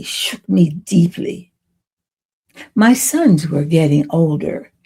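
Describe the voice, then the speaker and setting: Very slow-talking elderly woman, mellow voice. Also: lowish voice. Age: 80s. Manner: deliberate, with dramatic pauses between short phrases. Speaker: podcast host. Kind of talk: personal testimony storytelling to listeners.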